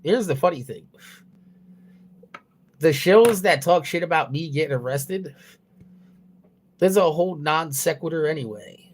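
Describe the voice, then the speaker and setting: A man's voice: fairly high pitch, expressive, a little slow, long pauses. Young male streamer talking loosely into a phone, profane and rambling, laughs at his own jokes. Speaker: livestreamer. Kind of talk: casual profane stream chatter. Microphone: mobile phone.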